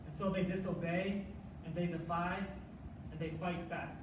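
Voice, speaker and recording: A man performing rehearsed, dramatic spoken word in a measured, theatrical manner. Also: high voice, flat delivery. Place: live competition performance in a room. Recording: echoey room, distant mic, faint noise.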